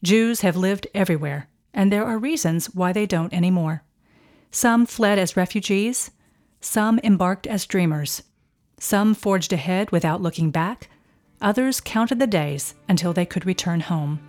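A woman host giving scripted documentary narration in a steady, composed delivery. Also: lowish voice, a little slow. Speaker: podcast narrator.

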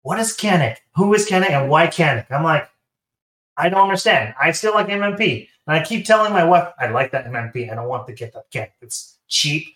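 A man's voice: fairly high pitch, expressive, a little fast, fairly bright tone. Taiwanese-accented man telling stories, roomy sound. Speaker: guest, sponsored competitive shooter. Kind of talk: casual interview storytelling.

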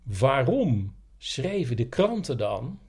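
A man's voice: mid-range voice, very animated, slow, a little mellow, husky. A man co-hosting a podcast, telling stories and explaining things in a casual, conversational way. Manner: explanatory, anecdotal. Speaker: podcast co-host.

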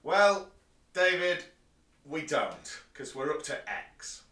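A man's voice: fairly high pitch, sing-song, slow pace, bright, forceful tone. A man co-hosting a podcast, stuffed up from hay fever, joking dryly and sarcastically. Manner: dry, sarcastic humour. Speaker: podcast co-host. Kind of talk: casual podcast chat. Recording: echoey room, distant from the mic.

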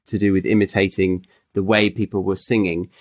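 A man's voice: low voice, flat delivery, fairly quick. A man who hosts a podcast and teaches English, asking questions in a friendly, encouraging way. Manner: friendly, encouraging, lots of affirming fillers. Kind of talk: casual interview chat.

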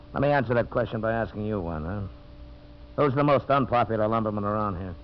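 An actor playing a smooth, calculating businessman villain in a 1950s radio drama, with an insinuating manner. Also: lowish voice, fairly quick, natural voice. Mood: sly, conspiratorial. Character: scheming, smooth businessman villain plotting sabotage. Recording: muffled, hissy old radio recording with hum.

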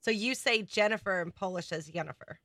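A woman's voice: medium pitch, fairly quick, fairly bright tone, no room sound, clean studio sound. A woman, chatting casually as a podcast co-host. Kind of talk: casual chat.

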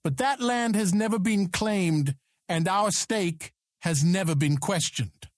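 A man's voice: sits high, takes it slowly, dry recording, studio quality. A man acting as a formal, courteous court second in an audiobook drama, with a proud manner.

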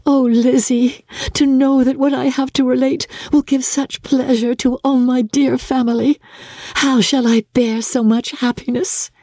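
A woman voicing a sweet, earnest young gentlewoman in a classic novel reading, formal and breathlessly dutiful. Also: high voice, little pitch movement, a little slow, no room sound, pitched above the performer's own voice. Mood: overjoyed.

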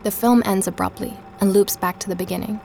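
An actress playing a podcast host, narrating in a calm, reflective way for an audio drama. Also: female voice, medium pitch, fast talker, vocal fry, music in the background, close to an everyday voice. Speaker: podcast narrator and investigator Emma.

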